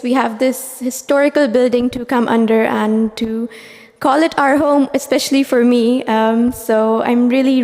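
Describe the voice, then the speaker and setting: A woman's voice: a little high, darkish tone. Young woman speaking earnestly in a hall. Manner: earnest, with frequent "um"s. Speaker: speaker at a mosque anniversary. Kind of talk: prepared speech. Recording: long hall reverb.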